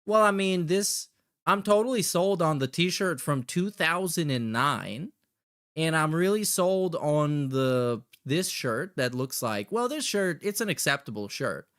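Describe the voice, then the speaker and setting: Higher-pitched man, sarcastic casual commentator. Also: animated, a little slow, fairly bright tone. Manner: sarcastic, mocking. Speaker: podcast host reacting to clips. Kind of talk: casual reaction commentary with frequent swearing.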